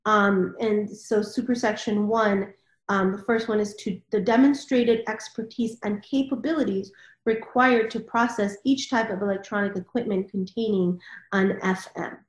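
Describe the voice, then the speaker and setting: A woman's voice: mid-range voice, fairly even intonation, moderate pace. A woman moderating a panel discussion and reading out formal requirements. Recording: roomy, echoing sound.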